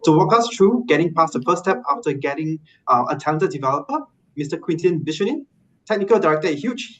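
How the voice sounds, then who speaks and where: A man's voice: on the high side, low-quality recording. A man moderating a webinar, thanking speakers and introducing the next guest. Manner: brisk, friendly introductions. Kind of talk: hosting a webinar.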